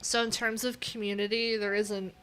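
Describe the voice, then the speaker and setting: A woman thinking aloud in a casual, unscripted tech livestream, informal and halting, often trailing off mid-thought. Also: mid-range voice, flat delivery, fairly bright tone, soft background music. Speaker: livestream host, web developer.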